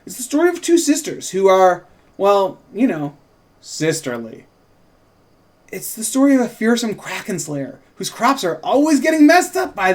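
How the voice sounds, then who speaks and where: A man performs an earnest podcast host with a slightly high voice. The narration is dramatic and theatrical, building in rhetorical phrases like the opening of a true-crime show. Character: earnest podcast host narrating a small-town murder story.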